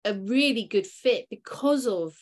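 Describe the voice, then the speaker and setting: A woman's voice: mid-range voice, lively intonation, unhurried. A woman co-hosting a podcast in casual conversation, speaking thoughtfully with some hesitation. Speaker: podcast co-host.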